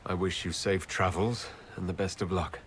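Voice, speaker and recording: A loyal leader in a game cutscene. His low voice is calm and sincere, giving brief supportive replies over a faint hiss. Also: moderate pace. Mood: calm, concerned. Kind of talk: video game cutscene acting.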